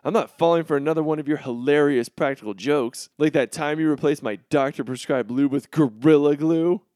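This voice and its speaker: A man's voice: a little high, a little fast, darkish tone, dry recording, close to an everyday voice. A man playing a pampered, crude teenage rich boy in a comedic audio drama, with a swaggering, melodramatic delivery. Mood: flustered, indignant.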